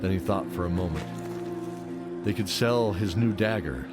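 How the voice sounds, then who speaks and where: A man's voice: sits low, moderate pace. A man narrating a scripted fantasy audio drama. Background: loud music.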